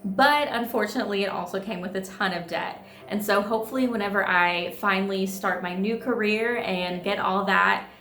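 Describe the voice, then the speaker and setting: A woman's voice: mid-range voice, normal speed, hardly any pauses, bright, forceful tone. A thirty-year-old woman vlogging in a chatty, upbeat, bubbly way. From United States. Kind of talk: casual vlog chat. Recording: echoey room with faint music.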